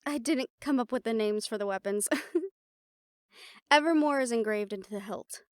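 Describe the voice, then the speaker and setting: A woman's voice: on the high side, moderate pace, clear, resonant tone, no echo, clean studio sound, natural voice. A woman playing a confident, playful siren-banshee warrior in a conversational audio roleplay, talking intimately to the listener. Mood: proud, amused.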